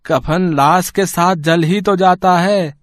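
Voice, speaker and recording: A man's voice: sits high, fairly even intonation, takes it slowly, dry recording, clean studio sound. A man playing a sullen, selfish young village husband in an audio story, grumbling and sulky. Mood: indifferent. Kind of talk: acted Hindi audio story dialogue.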